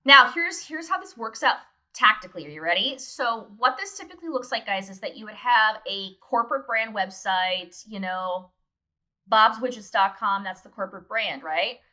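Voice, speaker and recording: A woman's voice: medium pitch, animated, moderate pace, bright, forceful tone. A woman guest explaining brand advice in a conversational, emphatic, instructive way, speaking directly to listeners. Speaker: guest, brand consultant and author.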